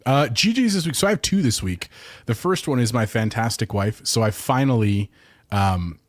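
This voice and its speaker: A man co-hosting a casual gaming podcast, telling an earnest story with a wry edge. His voice is mid-pitched, and the recording is dry and close, with no room sound. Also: animated, moderate pace, dry recording. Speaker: podcast co-host.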